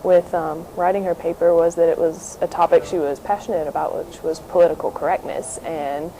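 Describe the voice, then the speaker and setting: Lowish, mellow young woman. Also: fairly even intonation, a little fast, a little hiss or hum, low-quality recording. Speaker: writing tutor. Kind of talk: casual personal anecdote.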